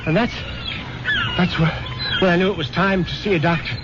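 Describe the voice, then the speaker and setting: A man's voice: sits high, steady tone, brisk, heavy background noise, close to an everyday voice. An actor playing a nervous, superstitious man who narrates his own story and often stammers. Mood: anxious. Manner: stammering, anxious. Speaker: first-person narrator. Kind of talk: 1970s radio drama acting. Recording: dull old radio recording, loud music.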